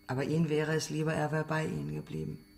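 A probing, businesslike interrogator in a radio drama. He speaks fast, with a creaky, slightly high voice. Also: little pitch movement, darkish tone.

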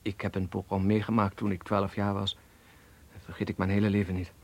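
Earnest young man, low husky voice. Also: flat delivery, a little fast. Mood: grave, recollecting. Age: young adult. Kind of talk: Dutch radio play acting.

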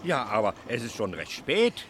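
An actor playing an obliging, busy riverside innkeeper in a German radio play, deferential and homely. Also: male voice, mid-range voice, huge pitch swings, normal speed, a bit bright, slightly rough, heavily acted.

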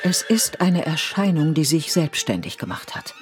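A woman reading literary narration for a radio play in a measured, deliberate way. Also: low-pitched, big pitch swings. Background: music with violin.

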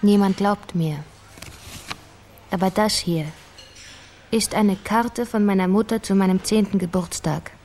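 A woman's voice: mid-range voice, measured pace, pauses often, darkish tone, a little hiss or hum, close to an everyday voice. An actress playing a guarded, irritable young mother in a radio crime drama, with a terse, defensive manner. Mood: guarded, insistent.

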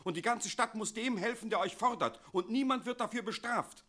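A man's voice: high-pitched, a little fast, fairly bright tone. An actor in a radio play playing an anxious archivist and protective father, officious and pleading. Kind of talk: German radio play acting.

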